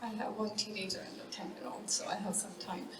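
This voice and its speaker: A middle-aged woman giving unscripted panel remarks as a parent, haltingly, thinking aloud. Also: medium pitch, steady tone, fairly bright tone. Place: large event hall. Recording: noisy echoey hall, distant mic.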